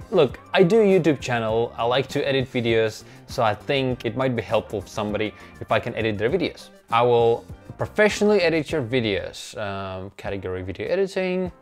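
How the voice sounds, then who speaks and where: A man's voice: medium pitch, a little mellow. Young Russian-accented man vlogging. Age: young adult. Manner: upbeat, chuckling, self-mocking. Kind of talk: casual vlog narration. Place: small bedroom, indoors. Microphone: clip-on lapel mic.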